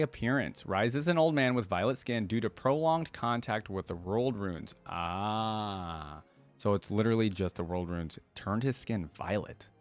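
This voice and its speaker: A man hosting a reaction video, reading text aloud and commenting on it in a casual, informal way. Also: lowish voice. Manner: informal, addresses viewers as guys and dude.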